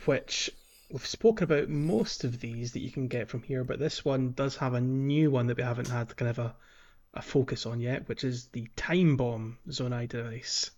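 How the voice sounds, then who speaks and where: A man's voice: medium pitch, normal speed, a little mellow, lo-fi sound. A man hosting a podcast, explaining things step by step in casual gaming chat. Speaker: podcast host. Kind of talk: casual game walkthrough chat.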